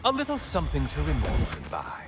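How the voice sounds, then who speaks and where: Sneering, wildly swooping vengeful god. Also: male voice, a little high, wildly swooping pitch, quick pace, heavy background noise. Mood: mocking, bitter. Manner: sarcastic, theatrical, sneering. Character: bitter, mocking male god, a taunting vengeful apparition. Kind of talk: dramatic video game acting. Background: loud dramatic music.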